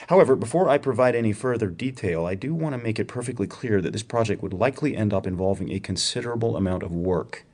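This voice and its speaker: An actor playing a wry, probing academic research professor in a radio drama, with a dryly sardonic, precise way of talking. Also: male voice, on the low side, lively intonation, fast talker, hardly any pauses, darkish tone. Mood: serious, exacting. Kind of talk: radio theatre acting, dramatic dialogue.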